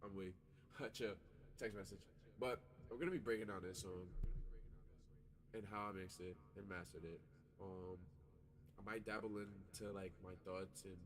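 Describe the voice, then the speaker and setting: A man's voice: deep voice, slight background noise, low-quality recording. A man who makes music, stuffy-nosed, talking casually and loosely in his home studio with lots of fillers and swearing. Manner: laid-back, rambling, lots of fillers and swearing. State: stuffy nose. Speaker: music artist and producer.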